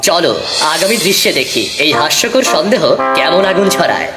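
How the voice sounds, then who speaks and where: A woman's voice: on the low side, big pitch swings, normal speed. A woman narrating a scripted comic cartoon story, with music behind the voice.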